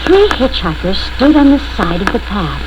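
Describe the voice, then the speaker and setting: A woman narrating a spooky children's read-along story in the first person, deliberate and expressive, on a scratched 1970 record. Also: medium pitch, dull audio, noisy background.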